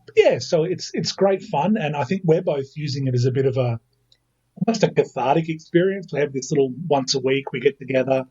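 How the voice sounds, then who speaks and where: A man's voice: a little high, fairly quick, darkish tone, slightly rough, dull audio. A man in his thirties with an Australian accent, a podcast guest chatting casually and joking at his own expense, often restarting his sentences. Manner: chatty, self-mocking, frequent restarts. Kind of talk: casual chat.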